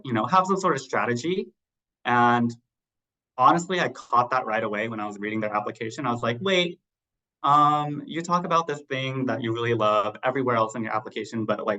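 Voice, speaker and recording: Guy in his 30s on a video call, bright clear voice, chatty, says "like" a lot. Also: medium pitch, low-quality recording. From Southern California. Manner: conversational, many "like" fillers. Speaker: MD-PhD student panelist. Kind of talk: casual panel talk.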